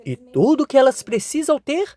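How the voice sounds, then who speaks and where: A woman reporter reading a scripted news narration with a lively broadcast delivery. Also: high-pitched, normal speed.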